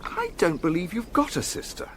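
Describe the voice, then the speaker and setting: An actor playing an earnest, gallant and slightly flustered young gentleman in a radio drama. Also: high voice, wildly swooping pitch, moderate pace, a little hiss or hum, exaggerated voice. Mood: teasing, surprised.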